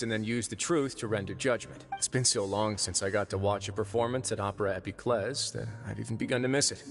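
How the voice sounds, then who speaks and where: Cool measured warden guy, lowish voice, soft game music under it. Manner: calm, measured, quietly wry. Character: composed adult male prison warden, wry and calculating. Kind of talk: video game voice acting. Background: faint game music.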